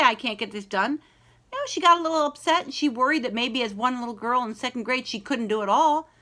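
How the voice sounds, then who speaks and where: Female library read-aloud host, higher-pitched, expressive and encouraging with kids, leans on key words. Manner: dramatic pauses, stretched key words, encouraging. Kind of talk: expressive children's storybook read-aloud, then chat to young listeners.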